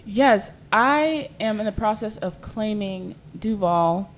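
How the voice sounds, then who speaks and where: A woman's voice: mid-range voice, expressive, slow pace, slight background noise. A woman in her twenties from South Sudan, a podcast guest chatting casually and filling her talk with "like" and "um". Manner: chatty, full of fillers like "like" and "um". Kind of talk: casual chat.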